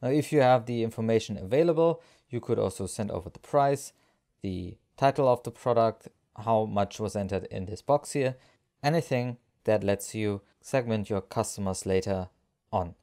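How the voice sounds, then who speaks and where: A man narrating a step-by-step software screencast tutorial as an instructor, explaining each action as he goes. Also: lowish voice, moderate pace.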